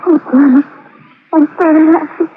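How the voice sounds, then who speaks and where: A woman's voice: sits high, steady tone, moderate pace, background noise, natural voice. An actress playing an anxious, tender woman, emotional and pleading, in a vintage radio drama. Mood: distressed, anxious. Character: anxious, tender young woman caught between men. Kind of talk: 1950s radio drama acting. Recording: thin old radio recording, narrow band.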